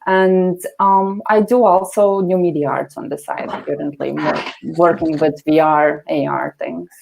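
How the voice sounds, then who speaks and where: Female panelist from Latvia, low register, gravelly fry, informal introduction style. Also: steady tone, a little slow, a little mellow, a little hiss or hum, poor audio. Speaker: panelist, freelance designer. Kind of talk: casual self-introduction on a panel. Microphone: video call.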